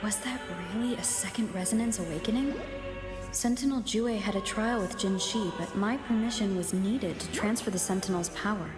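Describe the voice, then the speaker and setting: Game heroine , played by a woman: young, questioning and thoughtful, medium pitch, reverberant sound. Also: normal speed, close to an everyday voice. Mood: doubtful, pensive. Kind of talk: video game voice acting. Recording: echoing voice under loud music.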